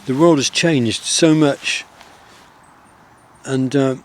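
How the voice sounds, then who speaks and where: Soft-spoken Wiltshire countryman outdoors. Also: mid-range voice. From England. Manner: soft-spoken, rambling, with many pauses and fillers. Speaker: outdoor vlogger. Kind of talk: reflective outdoor vlog.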